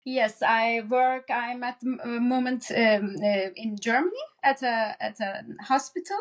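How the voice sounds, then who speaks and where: A woman with a fairly high voice and a Turkish accent speaks as an expert guest in a conversational interview. She goes haltingly, restarting phrases while she looks for the next word. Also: a little hiss or hum. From Turkey. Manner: halting, searching for words, repeats phrase starts. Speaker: psychiatrist, podcast guest.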